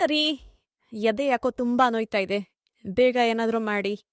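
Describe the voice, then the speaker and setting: A woman's voice: a little high, measured pace, no echo, clean studio sound, natural voice. A woman voicing a middle-aged wife in pain in a cartoon story, strained and pleading. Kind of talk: cartoon short story dialogue.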